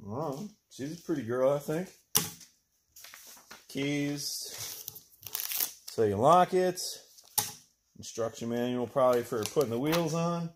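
A man's voice: medium pitch, lively intonation, slow, long pauses. A man in his thirties chatting casually and unscripted in a laid-back way, sometimes muttering to himself as he works. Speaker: woodworker unboxing and reviewing a tool chest. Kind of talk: casual unscripted vlog chat. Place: indoor workshop with shelving and lumber. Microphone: phone camera, at arm's length, then a few feet away. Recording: background noise and clicks, handling sounds.

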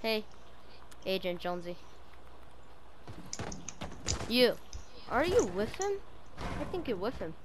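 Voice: medium pitch, sing-song, moderate pace. A female player improvising a roleplay over game voice chat, confrontational and commanding in manner.

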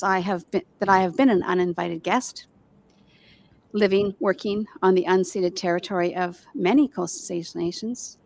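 A woman in her sixties reads steadily from prepared notes, her voice quiet and soft-spoken. She speaks at a middle pitch into a handheld mic, hosting a gathering over a video call from a room at home. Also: gravelly. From Canada. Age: 60s. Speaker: event host. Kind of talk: reading a land acknowledgment.

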